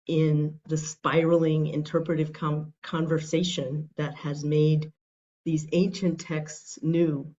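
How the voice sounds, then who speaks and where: A woman speaks in a measured, reflective way, giving prepared remarks on a video call. The sound is dull and lo-fi. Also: deep voice, darkish tone. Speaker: rabbi, panelist.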